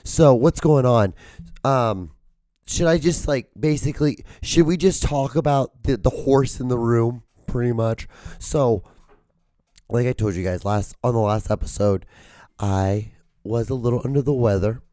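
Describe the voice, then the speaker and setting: A man hosting his own podcast with a hoarse voice that cracks and gives out. He rambles casually, swears often, and holds back his volume to spare his throat. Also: medium pitch, unhurried, dark tone, dry recording, studio quality. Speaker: podcast host.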